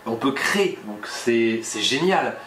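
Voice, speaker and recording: A man co-hosting a casual chat over a cocktail, animated, laughing often and repeating words. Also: mid-range voice, measured pace. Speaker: co-host. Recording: echoey room, faint noise.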